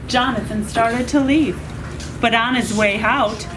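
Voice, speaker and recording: A woman reading a children's story aloud to a group, with expressive, emphatic delivery. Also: on the high side, a little slow, background noise.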